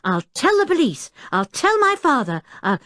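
Woman playing young boy, bossy, huffy, headstrong, raised animated pitch, storybook character. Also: measured pace, dry recording, clean studio sound. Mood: furious. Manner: blunt, huffy, self-important.

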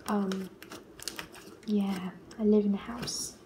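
A woman's voice: medium pitch, fairly even intonation, slow pace. A teenage girl from Australia chatting casually to camera as a vlogger, hesitant and rambling, often repeating herself. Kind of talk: casual question-and-answer chat to camera. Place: small bare-walled room at home. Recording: roomy, loud background noise, clicks.

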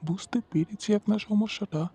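A man acts out a haggling property broker for a horror cartoon. His voice is very mellow and dark, with a husky edge, and he bargains insistently. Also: sits high, a little fast, dry recording.

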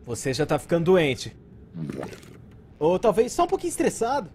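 A man's voice: sits high, huge pitch swings, moderate pace, darkish tone, slight background noise. A man with a Brazilian accent voicing an easygoing, concerned police partner in a cartoon. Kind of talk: cartoon dub.

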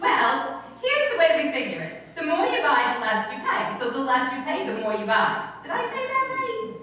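A cheerful, muddle-headed and bossy wife from an old-time radio comedy, played by a woman. The voice is high and very lively, recorded at a distance in a heavily echoing hall. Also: normal speed, a little hiss or hum, exaggerated voice. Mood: perky, muddled. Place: stage in a hall before a seated audience. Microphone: far camera. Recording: very echoey room, distant camera microphone.

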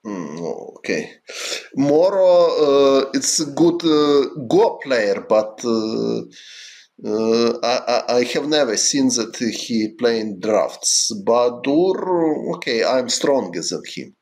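A middle-aged man from Ukraine with a Ukrainian accent, chatting casually on a chess stream in halting English with many fillers. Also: mid-range voice, expressive, darkish tone. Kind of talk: casual livestream chat answering viewers.

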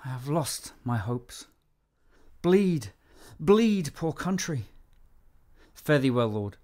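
A man's voice: mid-range voice, sing-song, slow, a little mellow. An actor playing a proud, passionate nobleman in a declamatory classical radio drama style. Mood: grave defiance. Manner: declamatory, impassioned delivery. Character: Macduff, proud Scottish nobleman stricken by grief and vengeance.

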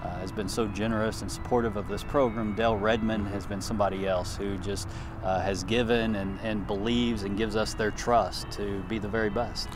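A man's voice: on the low side, flat delivery. A middle-aged man, an athletics director, answering interview questions outdoors at a ballpark in an earnest, praising way. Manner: earnest, praising, measured with fillers. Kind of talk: on-camera interview. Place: outdoors at a baseball field. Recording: music bed underneath. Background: music.

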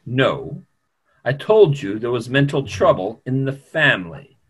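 A middle-aged man plays a grave doctor in a stage play reading. The voice is husky and animated in pitch. He is formal, courteous and emphatic. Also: unhurried. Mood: courteous, serious. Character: grave, courteous male doctor, firm in his opinions. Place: small room at home, video call.

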